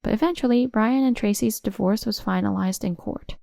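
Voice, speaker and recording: A woman's voice: fairly high pitch, normal speed, gravelly, warm tone, dry recording, studio quality. A woman reading a scripted first-person family drama as its narrator. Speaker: story narrator.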